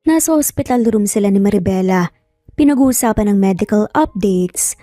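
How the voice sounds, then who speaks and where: A woman's voice: mid-range voice, expressive, normal speed, dark tone, dry recording, clean studio sound. A woman narrating a dramatized romance story as a storyteller.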